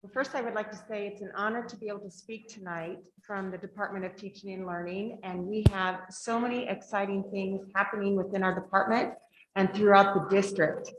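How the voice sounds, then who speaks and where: A woman's voice: mid-range voice, steady tone, fairly quick, a little hiss or hum. A woman, a school district director, presenting to a board meeting, reading her slides aloud in a big voice with frequent fillers. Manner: says she has a big voice, reads slides aloud with fillers. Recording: echoey meeting room.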